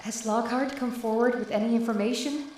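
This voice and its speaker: A woman acts as a serious company executive in a game's recorded log. Her voice is on the high side, distant from the mic and heavily echoed. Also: fairly even intonation, fairly quick, a little mellow, natural voice. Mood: concerned. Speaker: head of childcare in an audio log. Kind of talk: game audio log drama. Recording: echoey room, distant, faint noise.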